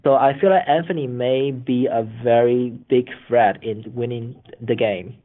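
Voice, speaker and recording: A man's voice: medium pitch, a little slow. A man being interviewed as a former reality show contestant, talking off the cuff, often repeating and restarting his words. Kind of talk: spontaneous interview talk. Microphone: phone call. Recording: phone line, band-limited.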